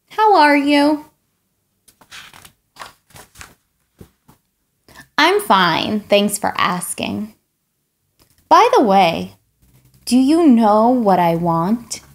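Woman acting a high, melodramatic, pleading pigeon. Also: full character voice. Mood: coy. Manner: dramatic, whiny, exclamatory. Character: excitable, pleading, melodramatic young pigeon wanting its way. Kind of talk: children's picture book read-aloud.

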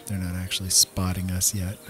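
Low-voiced man commentating on a game. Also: completely flat delivery, a little fast, fairly bright tone. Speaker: gaming video host. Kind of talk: casual let's play commentary. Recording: game music behind voice. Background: game music and outdoor nature sounds.

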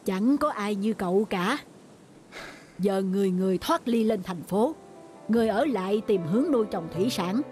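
A weary middle-aged fisherman from a cartoon folk tale, voiced by a woman. He is blunt and grumbles discouragingly in a dark, mellow voice. Faint music is heard behind. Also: mid-range voice, lively intonation, normal speed, lightly acted. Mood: doubtful, grumbling.

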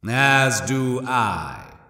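A man voicing a calculating, burdened corporate lord's inner thoughts, measured and brooding, in a science fiction audiobook, with a reverb effect. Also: medium pitch, expressive, pushed and bright, exaggerated voice. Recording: echoing long reverb.

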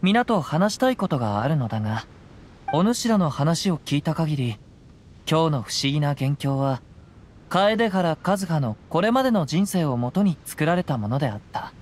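A man voicing a calm young wandering samurai in a game, speaking in formal old-fashioned phrasing. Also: fairly high pitch, moderate pace, a little hiss or hum. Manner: formal archaic speech, gentle and reflective. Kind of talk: game voice acting.

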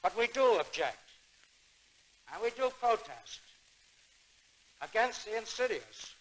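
An American man making a prepared 1930s protest speech with deliberate, oratorical phrasing. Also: sits high, sing-song, fairly bright tone, rough recording. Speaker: speaker at town meeting. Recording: vintage 1930s newsreel recording.

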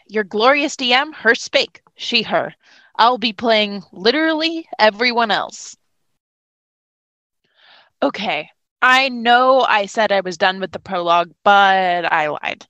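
A woman's voice: fairly high pitch, lively intonation, unhurried, sharp tone, dry recording, lo-fi sound. A woman hosting a tabletop game podcast as game master in a playful, theatrical, jokey way.

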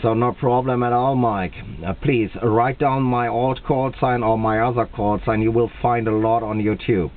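A man with a German accent making a two-way radio contact, speaking slowly and deliberately and repeating himself. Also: medium pitch, fairly even intonation. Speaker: radio operator. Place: indoors at a radio set. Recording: tinny, band-limited camera capture at the radio.

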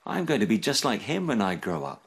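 A man's voice: fairly low pitch, huge pitch swings, brisk, vocal fry, slightly rough, exaggerated voice. A man voicing a proud young river animal in a children's story, in a childlike manner.

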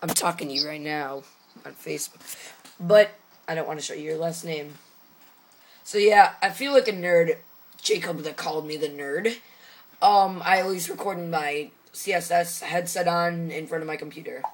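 A teenage boy with a hoarse voice, chatting casually and jokingly into a webcam from his room, wearing a gaming headset. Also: on the high side, moderate pace. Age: teens. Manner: rambling, joking, tired. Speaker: vlogger. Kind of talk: casual chat. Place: small room at home, indoors.

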